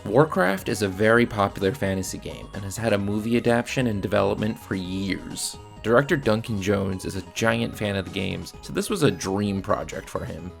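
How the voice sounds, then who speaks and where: A man with a low voice that often drops into fry. He delivers a blunt, casual scripted review, with faint music underneath. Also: fairly quick, hardly any pauses. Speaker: video reviewer. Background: faint background music.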